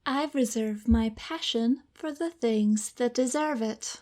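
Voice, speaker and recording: A cold femme fatale, played by a woman from a noir audio drama, with a fairly high voice. She speaks slowly and formally, haughty and calculating, with measured condescension. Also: dark tone. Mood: scornful.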